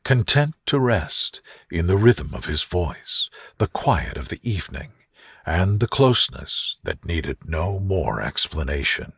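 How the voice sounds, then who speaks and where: A man narrating a sleep story in a soft, gentle, soothing way. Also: low-pitched, sing-song, measured pace, vocal fry, breathy edge, no echo, studio quality. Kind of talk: soothing scripted bedtime narration.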